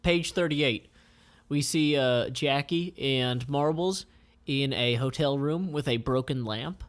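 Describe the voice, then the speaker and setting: A man reading a comic aloud informally, describing panels in a halting, conversational way. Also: medium pitch.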